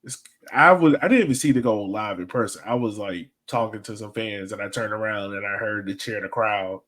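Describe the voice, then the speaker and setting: A man's voice: lowish voice, fairly quick, a bit bright. A man co-hosting a casual sports podcast, breaking down a match in an informal, joking way. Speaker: podcast co-host.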